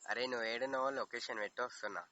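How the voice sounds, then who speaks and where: A man's voice: mid-range voice, steady tone, very fast, sharp tone, dry recording. A young man making a confrontational prank phone call, brusque and threatening, in slangy speech. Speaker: prank caller.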